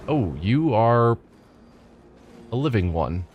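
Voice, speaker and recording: A man's voice: mid-range voice, big pitch swings, takes it slowly, lo-fi sound, a little hiss or hum. Congested man thinking aloud while gaming. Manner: musing, chatty, thinking aloud. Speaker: streamer playing a game. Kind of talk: casual let's play commentary. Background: game music.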